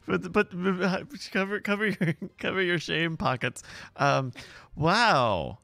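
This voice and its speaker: Very slow, high, bright-voiced man. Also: lively intonation, creaky, dry recording, soft background music. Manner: drawn-out, amazed reactions. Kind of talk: comedic banter.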